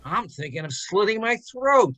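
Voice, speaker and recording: A man's voice: high-pitched, sing-song, moderate pace, pushed and bright, exaggerated voice. A man playing a glum, sardonic co-worker at his wits' end in an old-time radio drama, gloomy and wisecracking.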